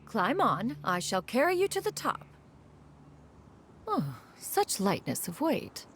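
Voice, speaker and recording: A woman's voice: fairly high pitch, wildly swooping pitch, slow, a bit bright, a little hiss or hum, exaggerated voice. An actress playing a lofty, protective immortal woman in a video game, with formal, archaic and assured speech. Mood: courteous, commanding.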